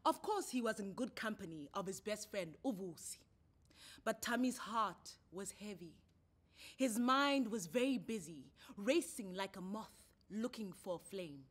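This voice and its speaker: A woman with a South African accent reading a short story in an expressive, theatrical way. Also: medium pitch, measured pace. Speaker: story reader. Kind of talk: dramatic short story narration.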